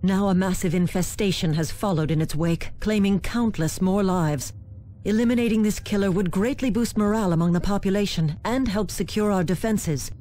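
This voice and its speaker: Casting: actress for formal female aide in a war game, earnest, medium-pitched, gentle dark tone. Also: slight background noise, lightly acted. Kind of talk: video game briefing voice acting.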